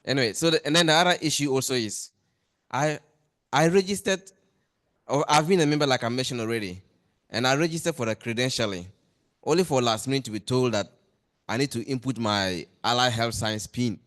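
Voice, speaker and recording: A man's voice: mid-range voice, a little fast, fairly bright tone. A man, a lecturer raising an earnest complaint at a meeting and pressing his questions. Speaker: lecturer and association member. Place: meeting hall.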